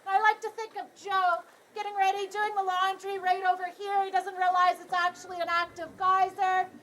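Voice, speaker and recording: A young Canadian woman guiding a tour outdoors, projecting her voice loudly to a crowd and joking as she explains. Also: very high voice, flat delivery, fairly quick, slight background noise. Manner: loud projected voice without a speaker, joking. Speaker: tour guide. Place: outdoors beside a geyser.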